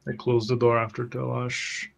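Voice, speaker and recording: A man's voice: mid-range voice, monotone, normal speed, vocal fry. A man improvising in character as a tabletop roleplay player, with polite, formal phrasing.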